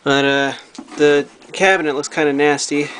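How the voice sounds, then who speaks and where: A man talking casually and haltingly, thinking aloud as he shows a hobby repair project in a home recording. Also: a little high, steady tone, background noise. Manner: halting, thinking aloud while handling the object. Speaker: hobbyist vlogger showing a radio repair project. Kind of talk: casual unscripted show-and-tell narration. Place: indoors at home.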